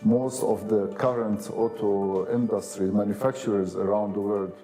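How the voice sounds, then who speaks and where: A man, an auto industry manager, speaking haltingly with many fillers in an interview. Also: lowish voice, little pitch movement, warm tone, soft background music.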